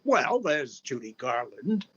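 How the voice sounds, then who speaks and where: An actor playing a polite, upbeat master of ceremonies in 1930s radio show style, on a slightly dull recording. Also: male voice, medium pitch, very animated, slow pace, fairly bright tone, slightly rough, heavily acted. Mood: upbeat, presenting. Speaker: show host.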